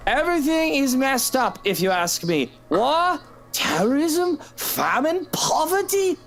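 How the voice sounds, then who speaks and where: A sulky, crude husband in a game, brusque and cynical. His pitch swings widely from low grumbles to exasperated highs, with music playing behind him. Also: big pitch swings, measured pace, creaky, a bit bright, heavily acted. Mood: bitter, brooding. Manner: brusque, world-weary, swearing. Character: grumpy, crude, cynical married man, drunk and sulking. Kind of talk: visual novel voice acting.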